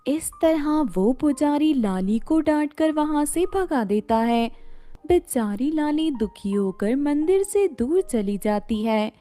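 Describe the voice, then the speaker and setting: A woman's voice: high-pitched, lively intonation, takes it slowly, warm tone, soft background music. A woman storyteller reading a scripted fairy-tale narration.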